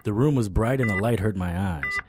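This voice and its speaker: An actor playing a world-weary supernatural private eye, narrating an audio drama in a wry, deadpan way. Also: male voice, lowish voice, a little fast, noisy background, lightly acted. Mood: wary, suspenseful. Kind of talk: noir first-person audio drama narration.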